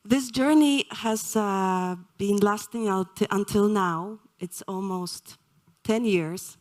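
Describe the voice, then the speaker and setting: A woman from Poland giving a live lecture, speaking slowly and hesitantly with many fillers. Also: medium pitch, darkish tone. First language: Polish.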